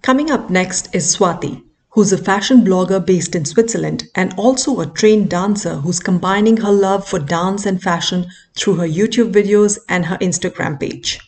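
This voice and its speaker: A woman host presenting a scripted segment, introducing guests in a clear, measured delivery. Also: mid-range voice, a little mellow. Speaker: podcast host. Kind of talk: scripted narration.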